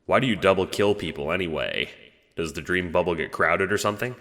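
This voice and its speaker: Audio drama lead: deep, mild, curious newcomer to the afterlife voiced by a man, hesitant, in long reverb. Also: expressive, a little fast, bright, forceful tone, heavily acted. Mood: questioning. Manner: hesitant, questioning. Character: newly dead player, curious, mild, hesitant.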